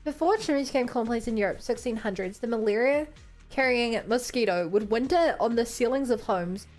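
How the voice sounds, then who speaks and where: A woman's voice: on the high side, normal speed, darkish tone, soft background music. A woman with a New Zealand accent chatting casually as she streams a game. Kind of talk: casual livestream chat over a game.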